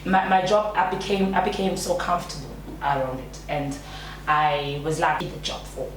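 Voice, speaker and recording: A woman from South Africa, a teacher, chatting casually in an interview with a lively manner and frequent laughs. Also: low-pitched, moderate pace. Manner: lively, laughs often, animated when joking. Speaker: guest, teacher in China. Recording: echoey room, distant mic, faint noise.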